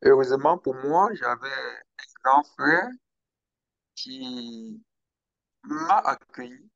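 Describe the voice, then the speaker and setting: A young man from Cameroon, speaking slowly and hesitantly as an interview guest about his own story. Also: mid-range voice, very animated, creaky, a bit bright, dry recording, dull audio. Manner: slow, hesitant, with pauses and restarts. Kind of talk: interview, personal account.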